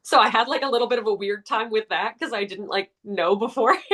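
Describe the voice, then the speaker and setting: Actress guest, woman, deeper voice for a lady, giggly and chatty. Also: lively intonation, fairly quick, a bit bright. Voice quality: fairly deep voice for a woman. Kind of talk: casual interview.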